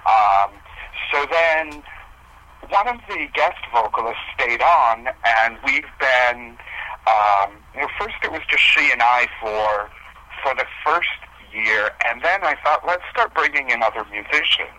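A man's voice: mid-range voice, animated, a little slow, pushed and bright, highs cut off, a little hiss or hum. A man speaking as a musician guest in a casual interview, hesitant and self-deprecating as he explains himself.

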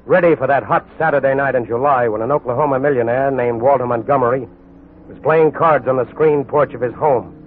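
An actor narrating a 1940s radio crime story in a solemn, dramatic, deliberate scripted style. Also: male voice, medium pitch, slight background noise, lightly acted. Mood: suspenseful. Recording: narrow-band 1940s radio recording.

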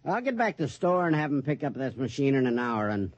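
An actor playing a nervous, polite store employee, hesitant and stammering, in 1950s radio drama style. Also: male voice, mid-range voice, very animated, quick pace, dry recording, close to an everyday voice. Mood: flustered, uneasy. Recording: muffled old radio recording.

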